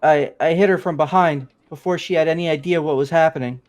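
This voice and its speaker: A man's voice: on the high side, fairly quick, soft background music, lightly acted. A man playing a nervous young confessor. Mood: anxious. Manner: rambling, defensive storytelling. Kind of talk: fan voice acting of a game.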